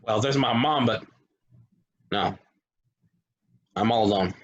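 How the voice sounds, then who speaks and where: A man's voice: fairly low pitch, takes it slowly, highs cut off. A man acting a sardonic, troubled, blunt loner at a stage play table reading. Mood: subdued, sad. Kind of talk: stage play table read, naturalistic dialogue.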